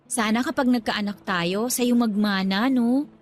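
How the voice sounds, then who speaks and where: A woman's voice: on the high side, measured pace, lightly acted. A woman with a Filipino accent playing a playful, clingy, jealous young girlfriend in a radio drama. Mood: coy, affectionate. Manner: coy, teasing. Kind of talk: radio drama acting, conversational Taglish.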